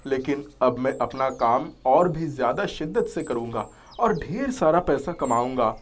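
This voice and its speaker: A man's voice: mid-range voice, animated, moderate pace, close to an everyday voice. A man voicing a diligent, overworked young truck driver in a cartoon moral story. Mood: earnest, determined.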